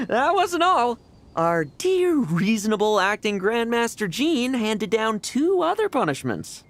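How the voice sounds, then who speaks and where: A man's voice: extremely high pitch, big pitch swings, slow pace, full character voice. A man voicing a playful, cocky young knight in an anime game, with a teasing, sardonic, theatrical delivery. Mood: wry, confident. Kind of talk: anime game character voice acting.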